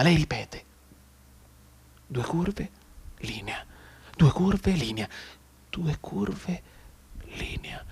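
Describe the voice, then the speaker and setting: An anguished bedridden soldier, his husky, rather high male voice halting and repeating itself. He delivers an intense radio drama monologue, breathy and volatile, as if trapped in his own thoughts. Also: heavily acted. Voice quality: husky, breathy, often dropping to a whisper. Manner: halting, repetitive, emotionally volatile.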